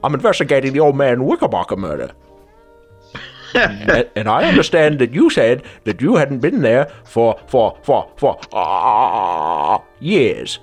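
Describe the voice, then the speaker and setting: A man acts out a flustered detective in a spoof radio play. He stammers and dodges, with very lively swings of pitch. Faint music plays behind him. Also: measured pace. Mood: evasive. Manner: stuttering, nervous, evasive. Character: flustered, stammering, shady male private detective. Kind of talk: improvised radio drama parody.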